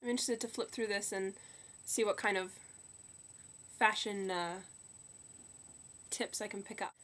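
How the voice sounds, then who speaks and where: A young woman with a slightly croaky voice from a cold, chatting upbeat about new books into a webcam in her bedroom. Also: medium pitch, steady tone, fairly bright tone. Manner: chatty, upbeat, quick asides. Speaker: book vlogger. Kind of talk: casual book haul chat. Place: bedroom at home. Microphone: webcam at desk.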